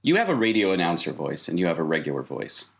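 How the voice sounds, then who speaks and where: A man's voice: deep voice, big pitch swings, fast talker, creaky. A man acting as game master, improvising second-person narration in a probing, explanatory way.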